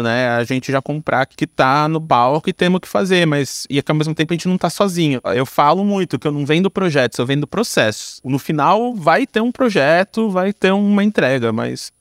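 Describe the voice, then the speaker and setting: A Brazilian man with a fairly high voice speaks in a loose, informal interview style. He reflects aloud in slangy, conversational phrases. The recording is close and dry, with no room sound. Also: no echo. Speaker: podcast guest, content creator and director. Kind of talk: casual reflective interview talk.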